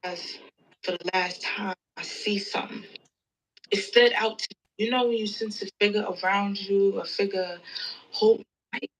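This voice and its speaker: Audio play role for a woman: weary survivor of a storm at sea, mid-pitched, reflective folksy storyteller. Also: steady tone, moderate pace, natural voice, low-quality recording. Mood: wary, reflective. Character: weary storm-tossed survivor recounting near drowning at sea. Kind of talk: dramatic audio play monologue.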